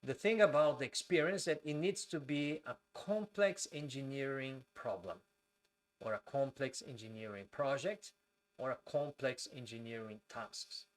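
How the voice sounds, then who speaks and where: A man from Brazil with a Brazilian accent, hosting a live stream, talking slowly with frequent fillers and greeting viewers from their comments. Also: medium pitch, animated, a little hiss or hum. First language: Portuguese. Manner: speaks slowly and deliberately with many fillers.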